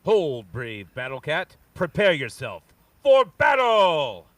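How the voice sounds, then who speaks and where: A man's voice: on the high side, huge pitch swings, slow, a bit bright, no room sound, far from the mic, full character voice. A man playing an earnest, mighty warrior hero, declaiming in archaic phrases at a comic book table read. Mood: rallying. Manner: declamatory, archaic heroic diction. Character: noble, earnest muscle-bound barbarian hero, strongest man around.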